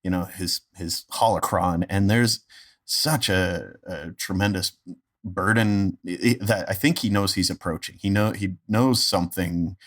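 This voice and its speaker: A man with a distinctly low voice talks casually as an interview guest. He is thoughtful and halting, often restarting a phrase as he works out what he means. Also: fairly bright tone. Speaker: podcast guest, amateur voice actor. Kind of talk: casual conversation about a performance.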